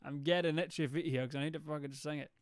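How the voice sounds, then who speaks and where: A man's voice: on the high side, fairly even intonation, fast, no echo, studio quality. A young man, chatting casually and swearing freely as he reads viewer messages on his stream. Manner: loose, sweary, rambling.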